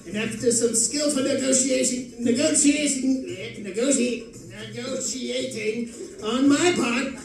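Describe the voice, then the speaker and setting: A bewildered but boastful gumshoe, acted out by a man, narrates in melodramatic old-time radio style. The voice sits very high for a man. It rings through an echoey space, picked up from well away with faint music behind it. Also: a little slow, vocal fry, heavily acted. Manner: melodramatic, wisecracking diary narration. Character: bewildered, boastful 1940s gumshoe private eye. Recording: echoey room, distant microphone.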